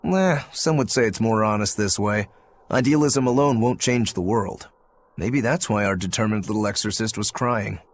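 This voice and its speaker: A man's voice: fairly low pitch, normal speed, a little hiss or hum, lightly acted. An actor playing a laid-back, worldly male demon in a game, speaking with dry wit. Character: easygoing adult male demon, wry and worldly.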